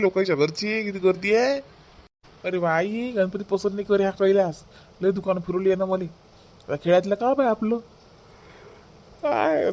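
A man's voice: sits high, a little mellow, natural voice. A man voicing a grumbling village family man in a comedy cartoon, speaking colloquial Vidarbha Marathi in a complaining manner.

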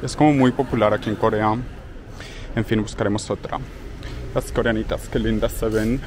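A man's voice: medium pitch, darkish tone. A young man chatting upbeat and casually to his viewers while walking through the city. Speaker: vlogger. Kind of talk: casual vlog chat. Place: city streets outdoors. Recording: street noise behind voice. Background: street and traffic noise.

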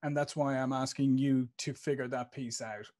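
A man in his fifties with an Irish accent hosts a video interview, agreeing warmly and thinking aloud with restarts into a podcast mic. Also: mid-range voice, fairly even intonation, normal speed. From Ireland. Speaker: interview host. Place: home studio with branded backdrop. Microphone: podcast microphone on stand.

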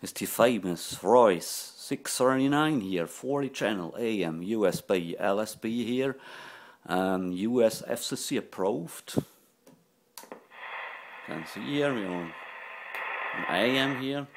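A man informally demonstrating equipment in short, halting phrases. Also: lowish voice, lively intonation. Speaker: radio collector and presenter. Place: indoors at a desk. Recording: noisy room with radio static.

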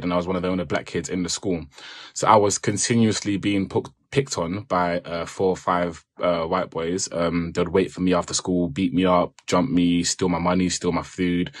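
A man's voice: sits low, fairly even intonation, normal speed, no room sound, studio quality. A man whose first language is French, reflecting on his own past as an interviewee. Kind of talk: reflective personal testimony.

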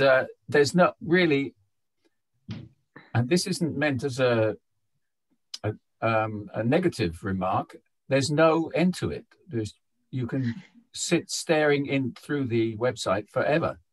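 A male poet on a video call, talking informally and haltingly as he searches for words. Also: medium pitch, lively intonation. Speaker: poet answering questions. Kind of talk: informal reflective talk. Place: indoors at home, on a group video call.

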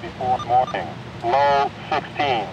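A man's voice: medium pitch, slow. Distant, very noisy male weather radio announcer. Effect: radio receiver speaker. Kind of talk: weather forecast bulletin. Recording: dull, very noisy radio, picked up from afar.

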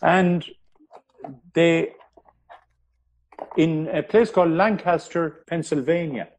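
A man, a historian, telling history in an interview, pausing often with ums and restarting his sentences. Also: fairly high pitch, fairly even intonation, poor audio. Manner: hesitant, many ums and restarts. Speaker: historian guest.

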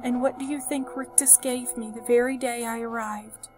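A frail old woman from a storybook, acted by a female reader, hushed and hoarse, tearful and tender. She speaks haltingly in a raised, breathy voice, with music playing behind her. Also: on the high side, steady tone, voice pushed up, lightly acted. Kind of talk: audiobook character voice.

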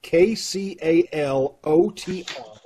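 A middle-aged man with a husky voice, making folksy, casual announcements to an online audience over a video call. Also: a little high, slow, mellow, slight background noise. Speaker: troupe host making announcements. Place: room at home, on video call. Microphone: video call microphone.

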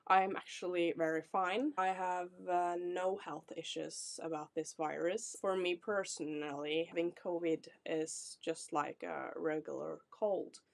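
Norwegian woman, 20s, Norwegian accent, low and slow, casual vlog chat. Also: steady tone, gravelly. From Norway. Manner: chatty, apologetic, reassuring, laughs often. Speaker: vlogger. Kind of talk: casual self-introduction to camera. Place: small decorated room indoors.

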